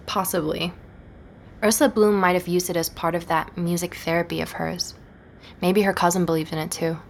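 An actress playing a curious, self-assured investigator in a naturalistic audio drama. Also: female voice, lowish voice, fairly even intonation, normal speed, vocal fry, a little hiss or hum. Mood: thoughtful, casual. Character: curious, self-assured female investigator reporting her findings.

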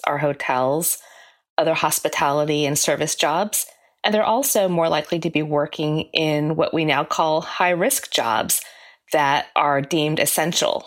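Low-voiced woman reporter explaining. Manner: explanatory, measured. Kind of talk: interview answers.

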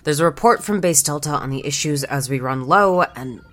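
An actress playing a weary, scattered moon base worker who speaks haltingly and repeats words to herself, in an audio drama. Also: female voice, sits low, lively intonation, fairly bright tone, faint music behind. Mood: distracted, strained.

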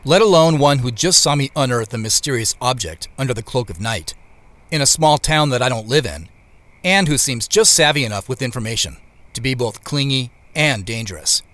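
A man's voice: medium pitch, animated, moderate pace, a bit bright, a little hiss or hum, natural voice. A man playing a wary, guarded narrator telling his own story introspectively in an audio drama. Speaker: lead actor and narrator. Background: music.

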